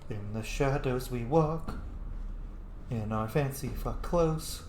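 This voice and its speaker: A man's voice: medium pitch, slow, darkish tone, reverberant, a little hiss or hum. A man giving casual, playful gameplay commentary with jokey sing-song asides. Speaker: let's player.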